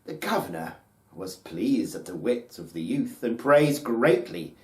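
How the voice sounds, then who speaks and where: A man narrating a folktale as a storyteller, with solemn, deliberate, theatrical phrasing. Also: mid-range voice, sing-song. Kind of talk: dramatic scripted folktale narration. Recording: roomy, echoing space.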